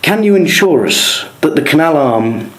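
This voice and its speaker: A man working as a radio interviewer, putting prepared, formal questions in measured phrases. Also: fairly high pitch, lively intonation, fairly bright tone, echoey room, poor audio.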